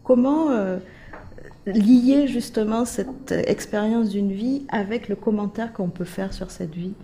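A woman's voice: mid-range voice, slow pace, dark tone. A woman interviewer and teacher asking long, winding questions full of hesitations. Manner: hesitant, many "euh" fillers, builds long questions.

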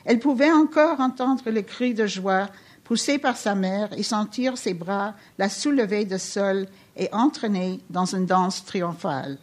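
A woman's voice: mid-range voice, measured pace. Woman reading her novel aloud. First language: not French. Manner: steady literary reading with small restarts. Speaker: author reading her work.

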